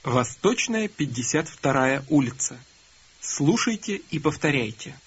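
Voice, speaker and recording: Husky-voiced man narrating a language course. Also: mid-range voice, unhurried. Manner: calm, prompting, instructive. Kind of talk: scripted instruction prompting learners. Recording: dull lo-fi course audio.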